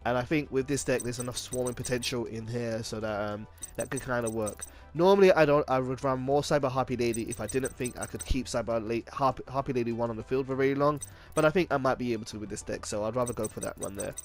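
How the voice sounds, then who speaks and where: A man hosting an unscripted card game video, explaining things informally and often correcting himself as he goes. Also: medium pitch. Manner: halting, self-correcting, informal. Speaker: video game deck profile host. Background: music.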